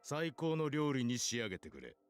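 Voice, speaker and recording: A man's voice: medium pitch, very animated, fast, natural voice. An actor playing an aloof man who gives orders in a Japanese visual novel, with music behind him. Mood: aloof, commanding. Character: commanding, aloof man giving orders to his underling.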